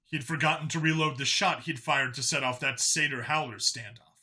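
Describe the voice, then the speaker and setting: A man's voice: medium pitch, fairly quick, sharp tone. A man narrating a science fiction audiobook in a dramatic, scripted storytelling style.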